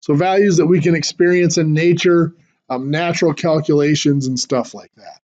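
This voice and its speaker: A male teacher giving a math lecture in class, hoarse and clearing his throat as he loses his voice, explaining step by step with fillers and restarts. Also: fairly high pitch, fairly even intonation, moderate pace, a little mellow, lo-fi sound.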